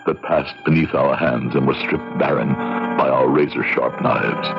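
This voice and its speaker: Very deep, bitter, haunted drunkard. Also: male voice, vocal fry, full character voice. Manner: brooding, theatrical, sardonic. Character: bitter, haunted, despairing drunken failed doctor. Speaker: narrator and lead character. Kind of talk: old-fashioned radio horror drama acting. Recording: dull lo-fi radio drama recording.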